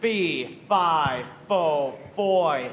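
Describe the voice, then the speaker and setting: A woman voicing a hungry, menacing male giant in a cartoon, chanting slow rhymes. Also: deep voice, expressive, a little hiss or hum, full character voice. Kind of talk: cartoon voice acting. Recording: echoey hall reverb.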